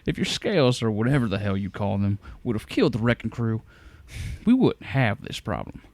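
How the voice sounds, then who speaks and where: An actor playing a brash, defensive genius scientist in an audio drama, talking bluntly and indignantly. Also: male voice, lowish voice, big pitch swings, brisk, very noisy.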